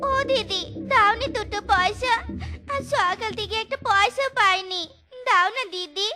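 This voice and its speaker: Someone voicing a very high-pitched, humble beggar wife. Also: unhurried, over loud music. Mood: pleading. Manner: pleading, coaxing, folksy. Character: poor beggar wife, devoted, humble and resigned. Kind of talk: melodramatic cartoon story dialogue.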